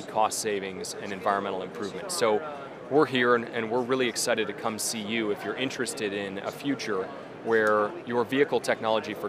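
A man in his thirties, a company executive, giving an earnest promotional interview in a busy trade show hall. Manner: earnest, fluent pitch. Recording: crowd chatter behind.

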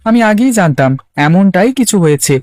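A man's voice: sits high, animated, moderate pace, darkish tone, no echo. A man voicing an earnest, disapproving young villager in a cartoon. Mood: worried, disapproving. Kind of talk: Bengali cartoon voice acting.

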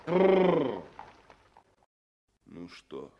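Grumbly sad sailor cart driver, really slow n swingy, husky, 60s soviet radio play. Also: male voice, on the high side, huge pitch swings, lo-fi sound, full character voice. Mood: grumbling. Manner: grumbling, slow, drawn-out calls to his horse. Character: gruff, heartsick sailor turned bathhouse cart driver. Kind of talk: 1960s Soviet radio drama acting.

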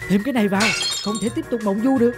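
High-voiced anxious cartoon pencil played by a woman. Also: very fast, lightly acted. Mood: determined, excited. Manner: plaintive, exclaiming. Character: anxious, pleading talking pencil, a younger sibling. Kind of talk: animated cartoon acting. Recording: loud music behind the voice. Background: loud music.

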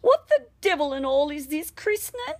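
A defiant ten-year-old boy from the bush, played by a woman reading a storybook. He is artful and indignant, with a high, emphatic voice that swings widely in pitch. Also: very animated, normal speed, darkish tone, dry recording. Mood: frightened muttering.

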